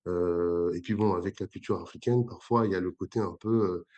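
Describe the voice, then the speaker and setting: A man's voice: sits low, steady tone, fairly quick, mellow, dull audio. Hesitant man in his forties. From Congo-Brazzaville. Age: 40s. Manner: hesitant, many fillers and restarts. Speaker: entrepreneur guest. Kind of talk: interview, conversational.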